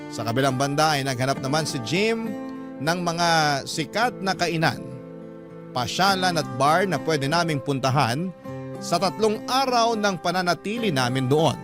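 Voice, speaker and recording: A man's voice: a little high, animated, normal speed. A man narrating a scripted first-person story as the narrator of a radio drama. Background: clear background music.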